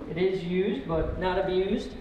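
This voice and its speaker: A man's voice: high-pitched, a little slow. A man calling bids at a live auction in a casual, slangy way. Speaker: auction bid caller. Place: large indoor auction room. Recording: very echoey hall, dull sound, faint noise.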